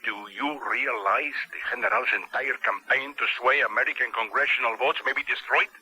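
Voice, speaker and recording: An actor playing an imperious military colonel in a 1970s radio drama, formal, stern and commanding. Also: male voice, mid-range voice, fairly quick, sharp tone, low-quality recording. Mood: stern, demanding. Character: imperious male military colonel, demanding and suspicious. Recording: old radio broadcast sound.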